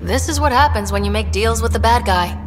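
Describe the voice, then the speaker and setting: A woman's voice: fairly high pitch, expressive, a little fast, noisy background, close to an everyday voice. An actress playing a determined young female space explorer in a video game, direct and wry. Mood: firm, probing. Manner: direct, dry. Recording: reverberant rooms, music behind. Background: game soundtrack music.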